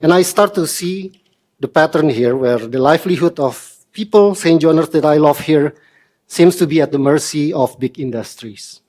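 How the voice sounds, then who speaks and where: A man in his forties from Indonesia, speaking earnestly in a measured, slightly formal way as a member of the public addressing a council meeting. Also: fairly high pitch, a little mellow. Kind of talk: prepared public statement.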